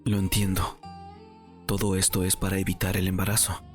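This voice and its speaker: Very deep-voiced, bewildered young prince, acted by a man. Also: monotone, normal speed, lightly acted. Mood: grave. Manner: questioning, bewildered. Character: puzzled young nobleman, prince and suitor. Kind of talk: audiobook character dialogue. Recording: music under the voice.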